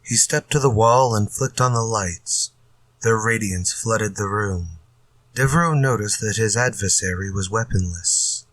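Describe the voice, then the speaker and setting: An actor playing a solemn, ominous horror anthology announcer, delivering grave, deliberate retro radio narration. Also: male voice, lowish voice, a bit bright, dry recording. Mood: suspenseful. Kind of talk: old-time radio scripted narration.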